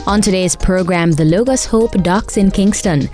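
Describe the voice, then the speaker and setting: A woman presenting a short radio news feature in a clear, measured, formal way. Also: medium pitch, music in the background. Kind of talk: scripted news feature reading.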